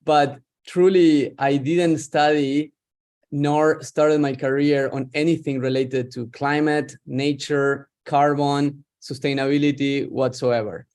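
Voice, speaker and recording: A man's voice: a little high, level tone, slow, a little mellow, smooth. Middle-aged man, reflective. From Argentina. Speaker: guest, startup founder. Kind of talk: interview on a video call.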